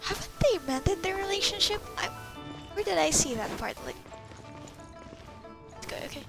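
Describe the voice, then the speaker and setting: A woman's voice: extremely high pitch, animated, moderate pace, creaky, music in the background. A woman giving casual live reactions as she plays a game, talking to herself and repeating words. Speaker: gamer playing a story quest.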